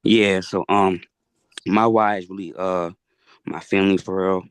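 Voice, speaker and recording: Deep, flat-voiced young man. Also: measured pace, clean tone, no echo. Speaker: football player. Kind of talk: casual video call chat. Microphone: video call.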